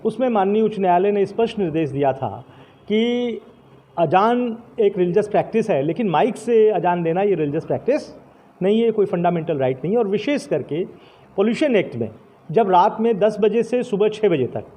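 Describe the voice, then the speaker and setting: A man with a notably high-pitched voice and a soft, dark tone speaks in a formal interview. He is measured and official, pausing between phrases and mixing English legal terms into his sentences. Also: animated. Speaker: senior police official being interviewed.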